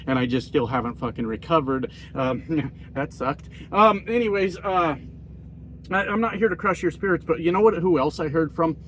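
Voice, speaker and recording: A man's voice: a little high, slight background noise, low-quality recording. A man with a nasal voice, a truck driver ranting in a casual, profane and self-mocking vlog monologue. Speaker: trucker vlogger.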